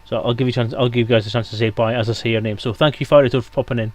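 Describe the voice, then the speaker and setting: A man's voice: mid-range voice, fairly even intonation, racing, a little mellow. A man hosting a livestream, chatting casually with his guests and viewers as he wraps up. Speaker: livestream host.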